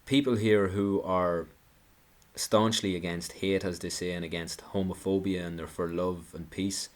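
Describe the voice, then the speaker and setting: Man, deep voice, sarcastic commentator delivering an indignant monologue with stumbles and restarts. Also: steady tone, a little slow. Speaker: political commentator.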